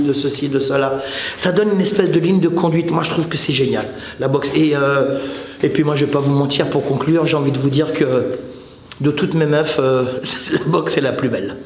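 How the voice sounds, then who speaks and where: Higher-voiced Frenchman in an echoey room. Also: darkish tone, a little hiss or hum. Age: late 50s. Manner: joking, clownish, colloquial. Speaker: Muay Thai coach and video host. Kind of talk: informal motivational advice to camera.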